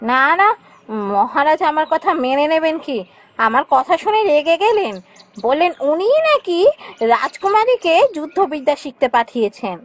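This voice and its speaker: A woman's voice: high-pitched, big pitch swings, moderate pace. A woman voicing a scheming, jealous younger queen in a fairytale cartoon, speaking in an insinuating, emphatic way. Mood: aggrieved. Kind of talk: Bengali fairytale cartoon voice acting.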